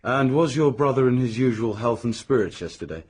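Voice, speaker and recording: An actor playing a brisk, self-assured British police inspector in a radio drama, formal, businesslike and probing. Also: male voice, medium pitch, animated, a little mellow, husky.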